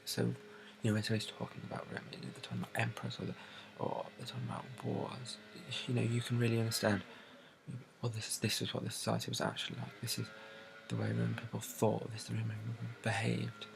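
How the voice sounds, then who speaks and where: A soft-spoken British man in a breathy ASMR bookshop roleplay, describing books in a hesitant, rambling way and repeating his phrases. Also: on the low side, level tone, fast talker, noisy background. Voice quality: breathy, soft, often dropping to a whisper. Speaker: ASMR creator playing a bookshop assistant.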